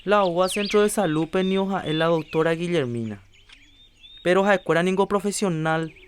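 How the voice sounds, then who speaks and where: A man's voice: sits high, normal speed, slight background noise, lightly acted. Man as earnest Paraguayan health promoter, persuasive and lecturing, radio drama, faint birds outside. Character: earnest adult man urging health checkups. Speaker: Rafael, a community health promoter. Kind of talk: educational radio drama acting.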